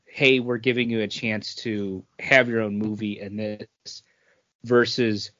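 A man's voice: fairly low pitch, little pitch movement, takes it slowly, a bit bright, no echo. A man co-hosting a podcast, giving his take on films in casual conversation. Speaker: podcast co-host. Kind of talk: casual movie review chat. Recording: dull, lo-fi, dry close sound.

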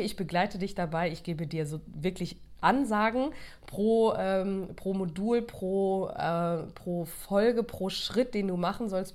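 A woman with a slightly low voice talks loosely about her subject, restarting sentences and filling pauses as she goes. She has a cold, so her breathing is a little short. The delivery is unscripted and conversational. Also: darkish tone. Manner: rambling, many restarts and fillers. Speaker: course creator and podcast host. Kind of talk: casual monologue.

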